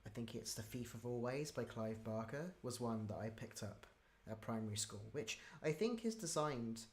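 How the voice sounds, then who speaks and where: A man's voice: mid-range voice, expressive, normal speed. A man hosting an interview in a casual, chatty way, using loose, informal phrasing. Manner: informal, peppers talk with "like". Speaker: interviewer, host. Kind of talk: casual chat.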